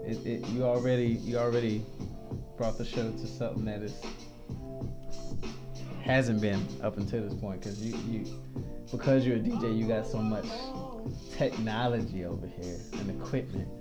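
A man's voice: fairly low pitch, fairly even intonation, normal speed, long pauses, loud music behind the voice. A young man hosting a casual podcast chat, loose and laughing, often stumbling and restarting his sentences. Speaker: podcast host.

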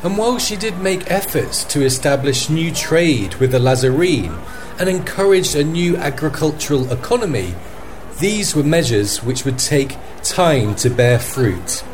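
A man's voice: fairly high pitch, animated, unhurried, low-quality recording. A man acting as a field correspondent, giving a scripted news report in an earnest, formal manner. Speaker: podcast host as field correspondent. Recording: faint background noise and ambience.

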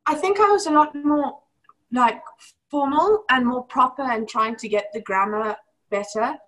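A woman's voice: high voice, moderate pace, a bit bright, poor audio. A young woman with a mixed British and South African accent, talking casually over a video call and laughing often. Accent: mix of British and South African. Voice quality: giggly, laughs often, many fillers. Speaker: former homeschool student.

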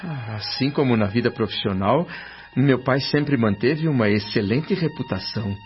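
A man's voice: medium pitch, moderate pace, slight background noise, natural voice. A Brazilian man acting an emotional, earnest grown son in the spirit world, with formal, dramatic radio drama delivery. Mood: troubled, questioning. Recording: dull lo-fi audio under music.

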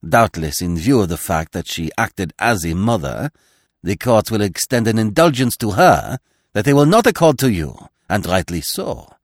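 Pompous male magistrate, read by a male narrator: sneering, declamatory, wide swoops in pitch, dry storybook recording. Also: big pitch swings, moderate pace, no room sound. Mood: grandiose, condemning. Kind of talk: audiobook character acting.

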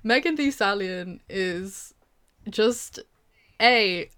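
A woman's voice: medium pitch, animated, drawn-out words, fairly bright tone, smooth. A young British woman chatting casually with a co-host, hesitant, with many fillers and restarts. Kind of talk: casual chat.

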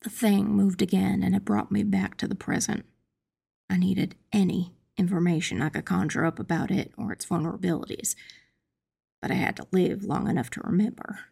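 A woman playing a determined witch who narrates her own dark fantasy audiobook tale in a measured, dramatic, husky and creaky voice. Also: on the low side, fairly even intonation, exaggerated voice. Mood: tense narrating. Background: music.

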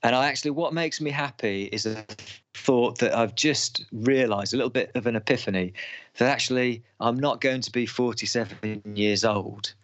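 Guy in his 40s, mid-pitched voice, dry close mic, keen motivational talk with lots of ums. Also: normal speed, fairly bright tone, dry recording, lo-fi sound. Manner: enthusiastic motivational talk, with hesitations and restarts. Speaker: guest, schools programme speaker. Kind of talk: casual podcast interview.

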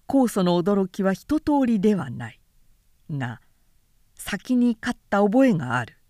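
Slow, expressive woman narrating a historical novel. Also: medium pitch, no echo, studio quality. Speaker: narrator reading literature aloud.

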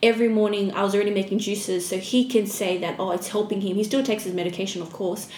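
Female interview guest, mid-pitched, casual, roomy echo with faint noise. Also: fairly even intonation, fast talker, darkish tone. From Fiji-born, raised in Australia. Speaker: podcast guest, small business owner. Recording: echoey room with background noise.

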